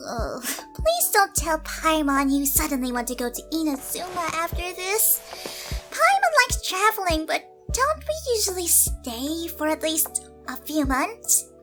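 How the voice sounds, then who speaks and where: A woman voicing a small, cheeky, greedy fairy girl with a shrill, childlike voice in an audio roleplay. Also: very animated, unhurried, fairly bright tone, faint music behind, heavily acted. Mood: whiny complaining. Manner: exuberant, speaks of herself in third person.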